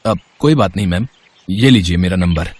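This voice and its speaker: A man's voice: sits low, normal speed, darkish tone, no echo. A man playing a humble, considerate young auto-rickshaw driver in an acted storybook dialogue. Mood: calm, helpful.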